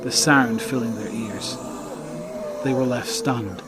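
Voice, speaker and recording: A male narrator reads a scripted audio drama in a mid-pitched voice. His delivery is dramatic storytelling, laid over prominent music. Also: fairly even intonation, slow.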